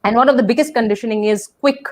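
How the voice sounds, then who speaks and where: A woman's voice: on the high side, quick pace. A woman hosting a webinar on a video call, speaking thoughtfully, with many fillers and restarts. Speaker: webinar host. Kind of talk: casual webinar conversation.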